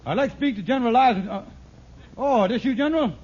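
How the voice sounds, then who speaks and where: High pitched scheming sitcom husband, blustery n hesitant, muffled noisy old radio. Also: male voice, fast talker. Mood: blustering, slick. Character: fast-talking scheming husband and con man with poor grammar. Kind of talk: mid-century radio sitcom acting.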